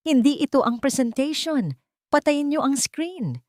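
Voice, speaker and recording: A woman's voice: high voice, very animated, measured pace, darkish tone, no room sound, studio quality, voice pushed up. A woman narrator voicing an arrogant, corrupt military man, cornered and demanding, in dramatic story dialogue. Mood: alarmed.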